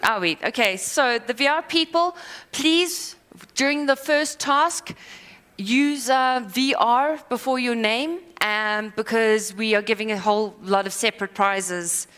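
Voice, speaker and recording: A woman giving a live conference talk, rambling and self-deprecating with quick asides and apologies. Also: a little high, a bit bright, clean tone. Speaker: conference speaker. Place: conference hall. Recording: hall reverb.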